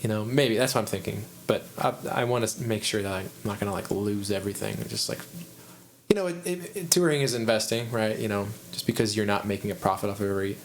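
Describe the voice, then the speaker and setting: A man with a Midwestern American accent hosts a relaxed podcast chat. He talks in a low, chest-heavy register, wandering through his thoughts with frequent restarts. A faint hiss sits under the recording. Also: fast. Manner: rambling, restarts, thinks aloud. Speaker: podcast host, musician. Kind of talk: casual chat.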